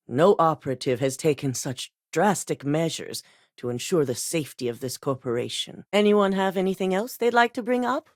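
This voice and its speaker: A woman's voice: on the low side, dry recording, clean studio sound. A woman voicing a cool, exacting female corporate executive in recorded game dialogue, speaking in a clipped, controlled, coolly authoritative way. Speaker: game character.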